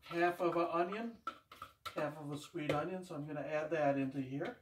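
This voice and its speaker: A man's voice: on the high side, moderate pace, background noise. An older man chatting casually while he cooks at home, telling rambling homespun stories, picked up by a camera across the kitchen. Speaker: home cooking vlogger. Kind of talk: casual cooking demonstration. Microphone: camera across the room. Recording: echoey kitchen, voice from far off.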